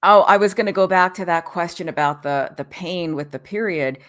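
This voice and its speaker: A woman speaking as a nutrition specialist guest in a casual interview, chatty and quick to correct herself, with frequent "you know" and "like.". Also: fairly low pitch.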